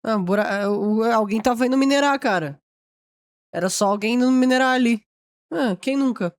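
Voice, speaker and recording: A woman's voice: on the high side, moderate pace, no echo. A woman with a Brazilian accent giving informal, slangy reaction commentary as host of a video. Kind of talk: casual spoken reaction commentary.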